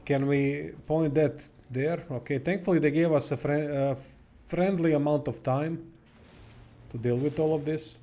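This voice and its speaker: A man's voice: a little high, steady tone, unhurried, mellow, a little hiss or hum. Swearing Croatian-accented man streaming a game. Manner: blunt, swears freely, rambling. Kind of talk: casual gameplay chat.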